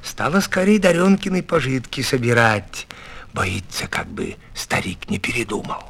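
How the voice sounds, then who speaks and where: A man reading a folk fairy tale as an expressive storyteller. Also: mid-range voice, moderate pace, gravelly.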